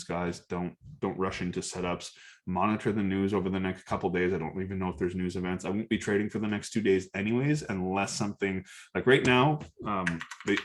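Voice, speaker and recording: A young man casually walking through chart setups for his trading community, loose and profane, with lots of fillers and restarts. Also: fairly low pitch. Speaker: trading educator. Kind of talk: casual screen-share market breakdown.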